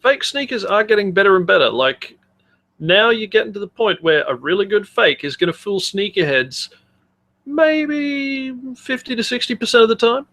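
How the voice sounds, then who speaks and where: A man's voice: high voice, very animated, moderate pace, fairly bright tone. A man co-hosting a comedy podcast with casual banter, wry, blunt and happy to swear. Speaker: podcast co-host.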